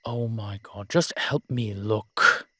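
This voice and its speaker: A man's voice: lowish voice, slow pace, bright, forceful tone, breathy edge, no room sound, exaggerated voice. A man playing an ancient, proud and world-weary male vampire in an audio roleplay, talking in a wry, haughty way with old-fashioned fancy words. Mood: grumbling, watchful. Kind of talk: audio roleplay drama, one-sided dialogue.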